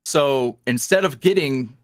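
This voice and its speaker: A man talking candidly as an interview guest about his work as an audio creator, with quick self-deprecating asides. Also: mid-range voice, dry recording. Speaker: guest, ASMR roleplay creator. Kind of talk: casual interview chat.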